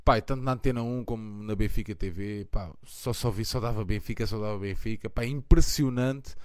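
A man's voice: fairly low pitch, fairly quick, clear, resonant tone, dry recording. A man in his thirties with a European Portuguese accent hosts a live fan show, talking with passion and rambling through lots of fillers. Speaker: podcast host of a fan channel. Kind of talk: casual live chat.

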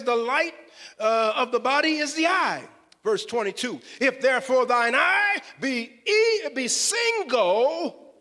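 High-pitched fervent male preacher in echoey hall. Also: bright, forceful tone. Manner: exclamatory, repeats phrases for emphasis. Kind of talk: fervent sermon with scripture quotation. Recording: echoey hall with long reverb.

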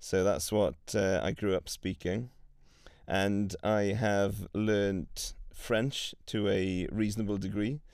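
A young man from Wales, a guest on a podcast, talking in a relaxed and chatty way. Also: fairly low pitch, takes it slowly, clean tone, no room sound, clean studio sound. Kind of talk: casual conversation.

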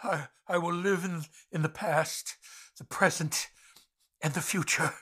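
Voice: mid-range voice, steady tone, measured pace, fairly bright tone, husky. An actor playing an old miser in a radio drama, stammering and exclaiming with great emotion. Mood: bewildered relief. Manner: stammering, repeating words, pleading and exclaiming. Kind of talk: theatrical radio drama acting.

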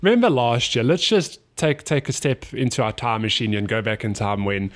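A man's voice: medium pitch, moderate pace. A young man with a congested voice, chatting and teasing a friend about running. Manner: teasing, laughs often, animated retelling. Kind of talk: casual chat between friends.

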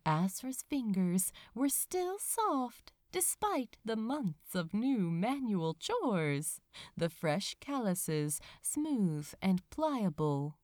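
A woman reading a story aloud as narrator, in an expressive scripted storytelling style. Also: mid-range voice, no room sound, clean studio sound. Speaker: audiobook-style narrator of fan fiction.

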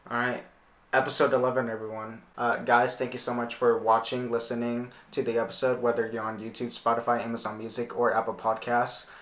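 Casual young male interviewer, hesitant and repetitive, medium pitch, noticeable room echo. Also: level tone, fairly bright tone. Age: young adult. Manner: halting, repeats words while thinking. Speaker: podcast host. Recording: roomy, echoing sound.

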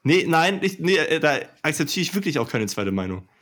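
A man's voice: on the high side, sing-song, fairly quick, fairly bright tone. A young man co-hosting a podcast, chatting casually and emphatically about rap albums. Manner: enthusiastic, emphatic, slangy. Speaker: podcast co-host. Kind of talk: casual chat about music.

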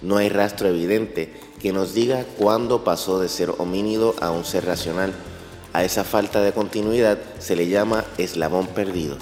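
A man's voice: low voice, moderate pace, darkish tone. A man delivering scripted, documentary-like narration as a narrator. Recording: echoey long reverb over music. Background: background music.

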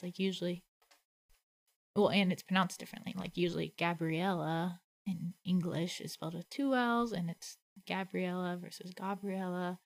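A woman's voice: fairly low pitch, vocal fry. A woman co-hosting a casual faith conversation, reflective and thinking aloud with many "like" fillers. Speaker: podcast co-host.

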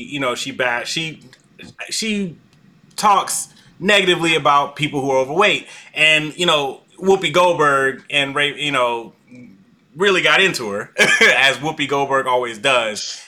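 A man's voice: a little high, bright, forceful tone. A man hosting a casual live-stream discussion over a video call, talking loosely with many fillers and restarts and laughing often. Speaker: host. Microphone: video call mic.

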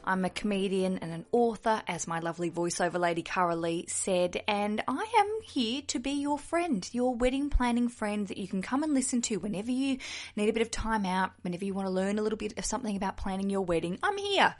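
A woman's voice: mid-range voice, animated, a little fast. An Australian woman hosting a podcast alone, chatty, jokey and candid. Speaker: podcast host. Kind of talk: solo podcast chat.

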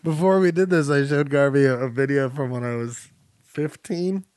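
A man hosting a casual podcast chat on a podcast microphone, loud and teasing, laughing easily. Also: medium pitch, moderate pace, no room sound. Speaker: podcast host.

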